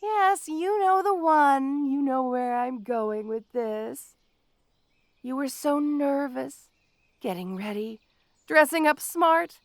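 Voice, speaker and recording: A woman playing a petty, obsessive supervillain in an audio roleplay, gloating haughtily and speaking straight to the listener with theatrical pauses. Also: sits high, no echo, studio quality. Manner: gloating, haughty, dramatic pauses and sudden swoops. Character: petty, obsessive, melodramatic female supervillain with a grudge.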